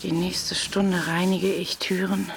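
Slow, hushed brooding woman, low-pitched. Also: flat delivery, gravelly, background noise. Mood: grim, controlled. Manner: slow, introspective. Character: troubled married woman, inwardly obsessive, hiding a secret. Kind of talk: radio drama inner monologue and dialogue. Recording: music under the voice.

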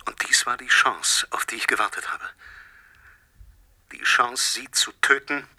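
A cold, vengeful man in a radio drama, with a piercingly tense, rough-edged voice. He phrases his threats politely and deliberately, savouring each taunt like someone long overlooked. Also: medium pitch, sharp tone. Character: vengeful male stranger, self-styled nobody, coldly menacing caller. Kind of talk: radio play acting.

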